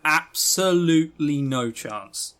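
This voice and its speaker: A man's voice: a little high, animated, takes it slowly, fairly bright tone. A man co-hosting a podcast, giving casual critical commentary in a sardonic, exasperated manner. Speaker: podcast co-host.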